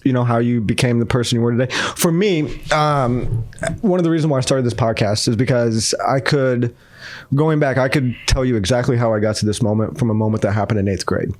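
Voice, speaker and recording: A man's voice: mid-range voice, fairly quick, darkish tone. Hesitant male podcast host. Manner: hesitant, restarts questions, casual swearing. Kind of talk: casual interview.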